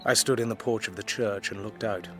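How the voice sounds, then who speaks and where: A man playing an earnest, courteous young Victorian gentleman with an English accent, in a period radio drama. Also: fairly low pitch, steady tone, a little fast, soft background music, lightly acted. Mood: grave, reflective. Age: young adult. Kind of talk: period drama acting with measured first-person narration.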